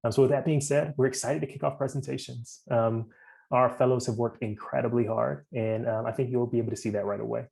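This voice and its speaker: A man giving halting opening remarks at a student demo event, with many ums and restarts. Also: medium pitch, steady tone, creaky, a little mellow. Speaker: program staff introducing student presenters.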